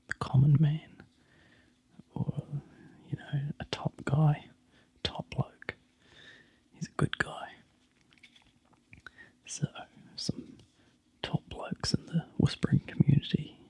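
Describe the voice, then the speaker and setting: A soft, breathy ASMR whisper from a New Zealand man, unhurried and full of little ums. His voice sits mellow and dark, with a gravelly edge. The breathiness never lifts into clear tone. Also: on the high side, level tone.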